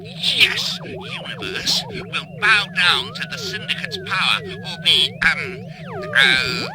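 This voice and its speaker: An actor voicing a bumbling, absent-minded villain professor in a cartoon game, grandiose but groping for words. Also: male voice, extremely high pitch, wildly swooping pitch, crowd noise, full character voice. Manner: halting, gropes for words, grandiose. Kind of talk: cartoon video game voice acting.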